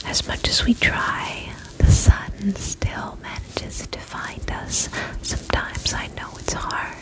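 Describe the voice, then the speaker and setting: A young woman playing a gentle vampire spa attendant in a soothing, breathy ASMR whisper, slow and polite, close to the microphone. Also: natural voice, low-quality recording. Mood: soothing, attentive. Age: young adult.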